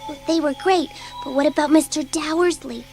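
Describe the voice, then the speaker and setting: A woman voicing a restless, outspoken schoolgirl in a dramatised children's audiobook, youthful and expressive. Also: high-pitched, a little fast, soft background music, pitched above the performer's own voice. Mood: uneasy.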